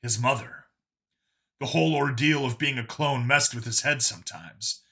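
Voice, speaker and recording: A man narrates a story as an audiobook reader. His voice is very bright and forceful, sitting at an average male pitch. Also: moderate pace, creaky.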